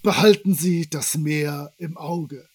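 High-voiced, playful man chatting casually. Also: takes it slowly, slightly rough. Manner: playful, jokey. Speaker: podcast guest. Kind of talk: casual podcast chat.

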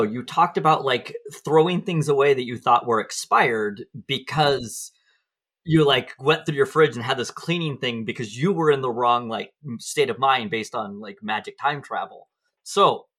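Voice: mid-range voice, lively intonation, moderate pace, a bit bright. A podcast host asking a guest questions in a playful, teasing way. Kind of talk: casual chat.

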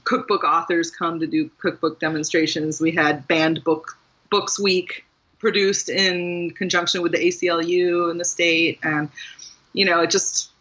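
A middle-aged woman from Maryland, talking frankly about her own life and often laughing at herself. Her voice is bright and forward. The sound is a little muffled and lo-fi. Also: lowish voice, breathy edge. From Maryland, USA. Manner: candid and self-deprecating, laughs often. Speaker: guest author. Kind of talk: casual interview conversation.

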